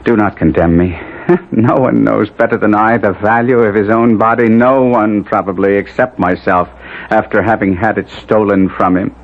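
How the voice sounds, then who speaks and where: A man playing a passionate young nobleman in a gothic 1970s radio drama, narrating his tale in halting, archaic, intense speech. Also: lowish voice, steady tone, close to an everyday voice. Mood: weary, guarded. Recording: muffled 1970s radio recording, music behind.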